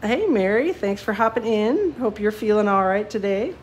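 A woman's voice: medium pitch, expressive, moderate pace, slight background noise. A woman demonstrating card-making live, chatty and self-deprecating with lots of ums, a little sniffly from a cold. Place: home craft desk, seen from above.

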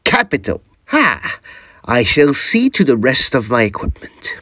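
An actor playing an eager, exacting young Edwardian gentleman ghost hunter with a formal, theatrical manner in an audio drama. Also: mid-range voice, wildly swooping pitch, unhurried, no room sound, clean studio sound, heavily acted. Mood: keen, businesslike.

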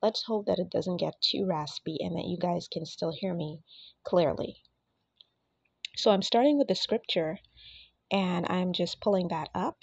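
A woman who is under the weather and a bit raspy, giving an earnest, casual prophetic message and speaking directly to her listeners. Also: on the low side, unhurried, dry recording, lo-fi sound. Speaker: prophetic minister.

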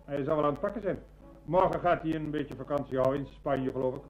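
A man's voice: fairly high pitch, fast, faint music behind, lightly acted. An actor playing a busy, evasive man chairing a dinner in a radio drama. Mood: hurried, evasive. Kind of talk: Dutch radio drama acting. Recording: dull old radio recording.

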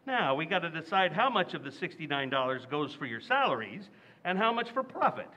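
A man's voice: fairly high pitch, very animated, fairly quick, bright, forceful tone. An actor playing a blustering, scheming middle-aged family man in an old-time radio comedy, exclaiming and stammering. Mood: smug. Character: blustering, scheming middle-aged husband and father, easily outraged.

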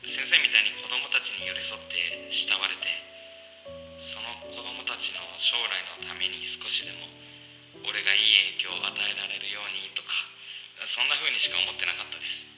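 A man's voice: fairly low pitch, normal speed, bright, forceful tone. A man acting a young trainee teacher in an audio drama, earnest, deferential and unsure of himself before an admired mentor. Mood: self-doubting. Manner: deferential junior speech to a mentor. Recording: echoey room, clear music underneath.

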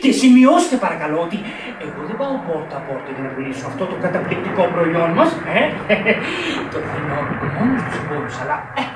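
A man's voice: on the high side, sing-song, background noise. Casting: slick travelling salesman, pushy showy patter, swooping intonation, echoey radio-play recording. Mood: ingratiating. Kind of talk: Greek radio theatre acting. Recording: echoey, distant from the microphone.

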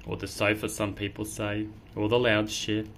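A woman's voice: a bass voice, flat delivery, moderate pace. A woman reading a picture book aloud to children, playfully chatting and explaining as she goes. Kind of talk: casual read-aloud for children with asides. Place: indoor room at a table.

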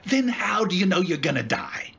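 An actor playing a blunt, wary working-class cab driver in an old-time radio drama style. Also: male voice, sits high, very animated, moderate pace, vocal fry, sharp tone, slightly rough, lo-fi sound, exaggerated voice. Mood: alarmed. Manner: blunt, colloquial, incredulous.

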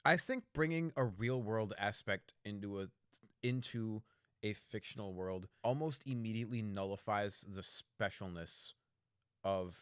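A man's voice: lowish voice, measured pace, a bit bright, clear, resonant tone, no room sound, studio quality. A man co-hosting a casual chat, giving blunt opinions with slang and swearing. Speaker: podcast co-host.

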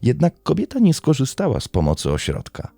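A man narrating a scripted true-crime story in a measured storytelling manner with dramatic pauses. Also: mid-range voice, huge pitch swings, gravelly, mellow, dry recording, soft background music.

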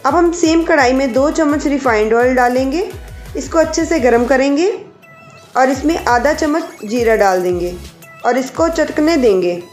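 A woman hosting a cooking channel, calmly explaining each step of a recipe to her viewers. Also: sits high, moderate pace, reverberant, faint music behind. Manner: calm, explanatory, addresses viewers directly. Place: home kitchen.

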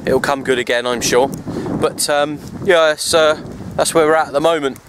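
A man's voice: a little high, steady tone, normal speed. A man chatting casually and easily in a rambling outdoor vlog, recorded on a handheld camera at arm's length. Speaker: radio hobbyist vlogger. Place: outdoors, open parkland in wind. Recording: noisy windy outdoor camera audio.

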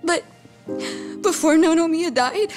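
A grieving, vulnerable young woman in a game drama. Her crying voice is extremely high and comes slowly, with faint music behind it. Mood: tearful, grieving. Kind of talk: dramatic video game acting.

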